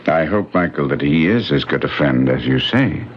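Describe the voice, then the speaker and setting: Grave barrister in an old radio play, very low voice, lively delivery, music behind. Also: male voice, moderate pace. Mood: concerned, gentle. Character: grave, courteous English barrister narrating his case. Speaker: radio drama actor. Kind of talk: 1970s radio drama acting. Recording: dull lo-fi old radio broadcast.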